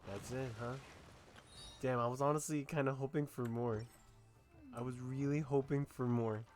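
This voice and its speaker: A man's voice: mid-range voice, fairly even intonation, normal speed, darkish tone, soft background music. A man streaming a video game, giving casual commentary in an unscripted, musing way and often repeating himself.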